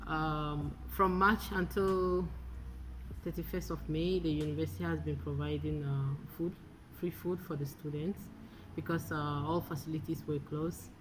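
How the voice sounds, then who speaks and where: Low-voiced woman from Benin. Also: steady tone, noisy background. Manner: halting, frequent uhs. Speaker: recent graduate. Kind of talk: personal testimony.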